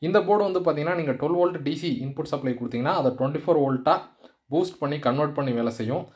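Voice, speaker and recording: A man's voice: on the high side, very fast, darkish tone. A man narrating a product demonstration in an explanatory way, mixing in English technical terms. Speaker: electronics channel presenter and seller.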